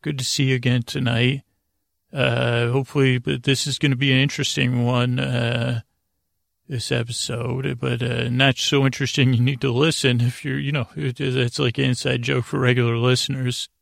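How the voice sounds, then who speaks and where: A man hosting a bedtime podcast, meandering and halting as he rambles, often trailing off mid-thought. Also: mid-range voice, little pitch movement, gravelly, dry recording, clean studio sound. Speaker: podcast host.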